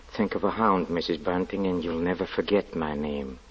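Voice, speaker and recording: Guy acting as weary creepy religious lodger, deep voice, hesitant and brooding, old 40s radio play, dull muffled sound. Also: slight background noise, lightly acted. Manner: hesitant, halting, repeating his words. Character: soft-spoken, weary, brooding, fanatically religious male lodger. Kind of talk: 1940s radio drama acting. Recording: muffled 1940s radio recording.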